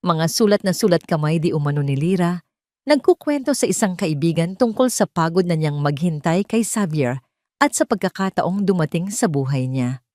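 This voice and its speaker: A woman's voice: fairly low pitch, a little mellow, no room sound, studio quality. A woman storyteller giving a dramatic, scripted narration of a story.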